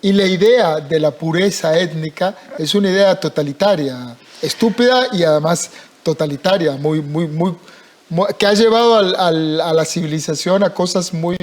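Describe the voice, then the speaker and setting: Costa rican man, tv news anchor, high voice, friendly chatty interviewer. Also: normal speed. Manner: friendly and chatty, then opinionated. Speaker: news presenter. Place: TV news studio.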